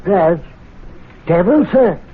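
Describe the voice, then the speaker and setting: An actor playing a deferential, unflappable club servant in a radio drama, formal and politely measured. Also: male voice, high-pitched, animated, slightly rough, exaggerated voice. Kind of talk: 1970s radio drama acting. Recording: lo-fi 1970s radio broadcast.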